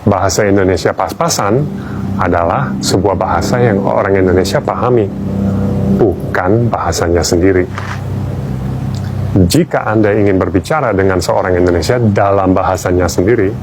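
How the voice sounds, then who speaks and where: A man's voice: low-pitched, moderate pace, background noise. A man from Australia, a native English speaker, giving a wry, playful public talk as a language teacher.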